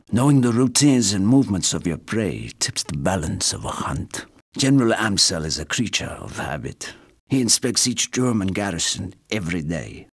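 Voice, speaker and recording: Gravelly, vengeful Russian sniper sergeant. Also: male voice, deep voice, exaggerated voice. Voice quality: gravelly, husky. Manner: dramatic, deliberate, theatrical delivery. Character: vengeful middle-aged Soviet sniper sergeant, fervent and commanding. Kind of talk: video game character acting.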